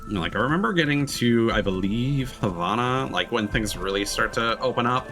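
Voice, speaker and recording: A man's voice: medium pitch, lively intonation, fairly quick, pushed and bright, soft background music. A man giving casual, musing commentary while streaming a video game, rambling from thought to thought.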